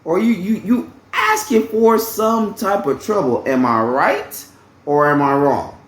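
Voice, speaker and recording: A man's voice: high-pitched, very animated, a little slow, fairly bright tone. Guy ranting about his job, keeps saying okay?, swears a lot, roomy echo. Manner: rambling, repeats himself, keeps asking "okay?"; blunt, uses profanity freely. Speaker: vlogger telling a work story. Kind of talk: casual storytelling vlog.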